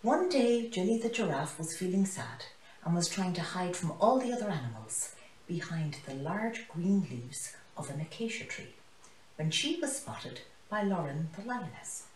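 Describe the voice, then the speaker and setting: A woman in her sixties reads a picture book aloud. Her voice is fairly low yet very varied in pitch, picked up from across a roomy living room. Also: big pitch swings, normal speed. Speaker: storybook reader. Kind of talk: expressive children's story reading. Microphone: camera across the room. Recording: roomy, mic some distance away.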